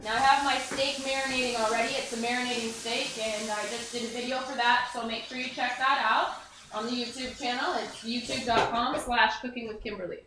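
A woman's voice: on the high side, steady tone, fairly quick. A young woman giving a casual, chatty cooking demonstration to camera, thinking aloud as she works. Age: young adult. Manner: chatty, informal, thinking aloud while working. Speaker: cooking show host. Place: indoor home kitchen. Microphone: camera mic a few feet away. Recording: roomy, echoey, noisy kitchen recording.

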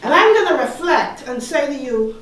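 A middle-aged woman from the Bahamas with a Bahamian accent, leading a slow, soothing guided meditation on stage as a panel artist. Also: high voice, expressive, a bit bright, slight background noise. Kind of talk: guided meditation address to an audience. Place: large hall, on stage. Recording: echoey hall from far off.

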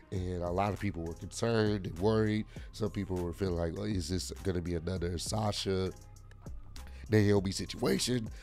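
A man in his thirties with a hoarse, worn-out voice, casually reviewing a wrestling event from a desk microphone at home. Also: sits low, darkish tone. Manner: casual, rambling with frequent fillers. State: hoarse, lost voice. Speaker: wrestling vlogger. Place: home room with lit shoe shelves. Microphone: desk mic on arm.

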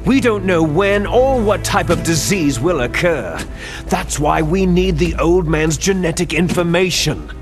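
An actor playing a bitter, fanatical villainous clone soldier in a video game, arrogant, menacing and condescending, with drawn-out theatrical phrasing. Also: male voice, on the high side, animated. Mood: contemptuous lecturing. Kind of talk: video game cutscene acting. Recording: music behind the voice.

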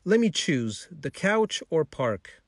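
A man's voice: on the high side, very animated, takes it slowly, no echo, clean studio sound, exaggerated voice. A man voicing a cheerful, encouraging schoolboy in a children's story, enthusiastic and exclamatory. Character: cheerful, encouraging schoolboy, fond of his dog.

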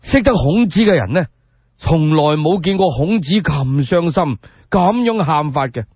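A man telling a scripted story in Cantonese radio style, expressive and measured, with rhetorical asides, on a dull recording. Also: on the high side, slightly rough, dry recording. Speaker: solo storyteller.

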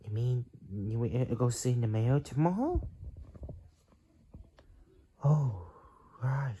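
An amateur performer acting out character lines in a halting, drawn-out way, with a breathy, husky voice that often drops toward a whisper. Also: mid-range voice, lively intonation, warm tone, muffled recording, slight background noise. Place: small bedroom at home.

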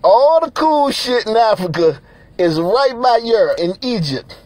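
High-voiced man ranting bluntly. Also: sing-song, unhurried, darkish tone. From Nigeria. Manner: blunt, profane, repeats himself, laughs between points. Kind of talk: casual ranting commentary over pictures.

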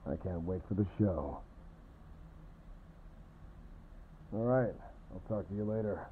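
A man's voice: sits low, normal speed, phone-quality sound, a little hiss or hum, lightly acted. A man playing a mocking, condescending, swaggering kidnapper henchman in an audio roleplay. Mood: casual, dismissive. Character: taunting, cocky male kidnapper henchman guarding a captive.

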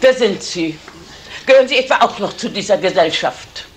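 An actress playing an energetic, indignant, scolding older woman in a 1970s radio crime drama, haughty and sarcastic. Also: medium pitch, normal speed, close to an everyday voice. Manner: haughty, sharp-tongued, sarcastic. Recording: roomy vintage radio recording, faint hiss.

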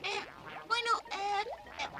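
A man voicing a small, excitable, boastful and bumbling magician creature in a Latin American Spanish cartoon dub. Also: very high-pitched, slow, full character voice. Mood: flustered, boastful. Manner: excitable, exclamatory. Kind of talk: animated series dubbing.

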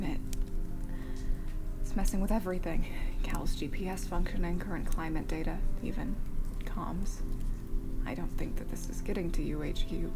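A woman's voice: lowish voice, lightly acted. A woman playing a desperate space comms officer in a sci-fi audio drama, making formal distress calls. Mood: exhausted, hushed. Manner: formal radio-call phrasing, urgent.

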